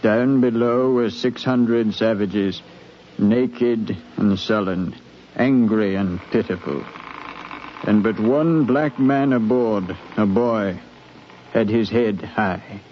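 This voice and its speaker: A man acting a grave, orderly ship's captain in a 1950s radio drama, formal and measured, sombre in his telling. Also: lowish voice. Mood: sombre narration. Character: grave, orderly slave-ship captain narrating his voyage. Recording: muffled, hissy 1950s radio recording.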